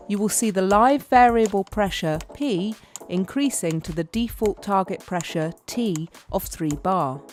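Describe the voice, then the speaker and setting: A woman reading a scripted technical training narration in a measured, step-by-step instructional way. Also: mid-range voice, a little mellow.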